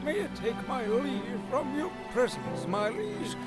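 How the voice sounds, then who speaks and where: A man's voice: very high voice, very animated, slow, over loud music, heavily acted. A man voicing a bitter, haughty old fish-folk royal advisor with formal, aggrieved speech in a fantasy audio drama. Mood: bitter, huffy.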